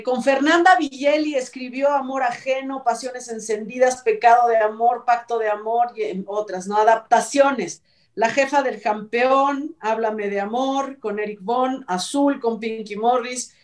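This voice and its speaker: Echoey, higher-voiced Mexican woman. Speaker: entertainment show panelist. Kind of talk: casual commentary. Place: indoor room, video call. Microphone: video call mic at a distance. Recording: echoey room, distant mic.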